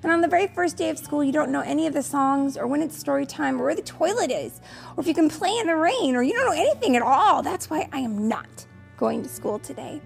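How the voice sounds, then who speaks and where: A woman's voice: high voice, animated, quick pace, vocal fry, faint music behind, voice pushed up, full character voice. A woman voicing a stubborn, anxious young child in a storybook, insistent and pleading. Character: stubborn anxious young child, first-day school refuser.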